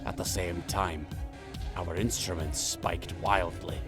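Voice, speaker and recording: Cold male medical researcher: deep, creaky, formal log reading over music, horror game. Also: fairly even intonation, slow pace. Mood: detached. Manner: detached, formal. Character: clinical male researcher dictating eerie patient notes. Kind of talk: scripted clinical log narration.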